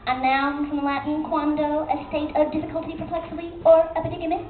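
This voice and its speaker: Casting: actress as imperious small cat for comic radio play, dryly sardonic, high voice, phone-thin stage sound. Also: female voice, fairly even intonation, fast, close to an everyday voice. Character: small, dry, sardonic emperor of all cats. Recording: echoey, tinny live stage recording.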